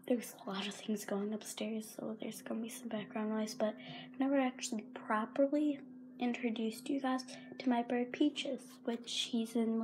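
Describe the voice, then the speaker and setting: A woman's voice: a little high, darkish tone, a little hiss or hum, poor audio. A teenage girl with a sore throat, rambling and apologizing in a casual pet vlog, often half-whispering, filmed on a handheld phone at home. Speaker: vlogger. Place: carpeted home room, indoors. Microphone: handheld phone camera.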